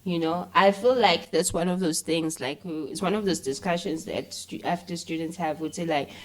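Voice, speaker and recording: Woman, South African accent, slightly low pitch, animated, informal, conversational interview guest, code-switching. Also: moderate pace, rough recording. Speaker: interview guest, filmmaker and content creator.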